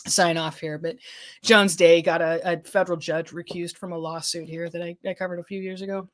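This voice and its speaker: Lower-voiced woman chatting on a livestream, voice going. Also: level tone, a little fast. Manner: rambling, many restarts and fillers, thinking aloud. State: losing her voice. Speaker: legal affairs journalist hosting a livestream. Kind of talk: casual livestream chat reading viewer comments.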